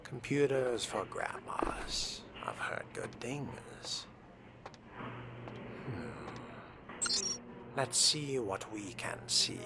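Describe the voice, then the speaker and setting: A man's voice: medium pitch, animated, drawn-out words, pauses often, noisy background. A man narrating a role-playing game in character, with a pompous, disdainful manner and formal wording. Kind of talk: in-character roleplay commentary.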